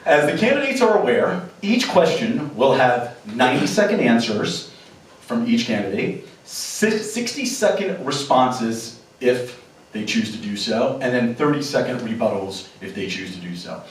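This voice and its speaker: A man's voice: medium pitch, very animated, a little slow, distant mic, slight background noise. Man moderating a debate in an echoey theater. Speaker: debate moderator and show host. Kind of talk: moderating a local political debate. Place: theater stage before a live audience.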